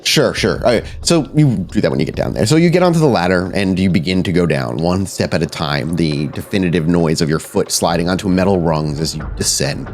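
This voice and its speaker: Low-voiced man narrating a horror game. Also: lively intonation, a little fast, hardly any pauses, darkish tone, a little hiss or hum. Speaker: game master, storyteller. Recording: music behind the voice. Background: background music.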